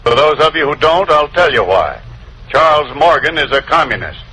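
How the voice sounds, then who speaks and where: An accusing broadcaster in old radio drama. He is bright, forceful and very animated, declaiming indignantly on a noisy vintage recording. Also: a little high, normal speed. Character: indignant male radio broadcaster denouncing a colleague. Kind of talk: 1940s-50s radio drama acting.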